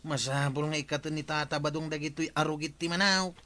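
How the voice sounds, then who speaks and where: An earnest young villager in an Ilocano radio comedy. His voice sits a little high, with an Ilocano accent and a pressing manner. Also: steady tone, a little fast, bright, forceful tone. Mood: concerned.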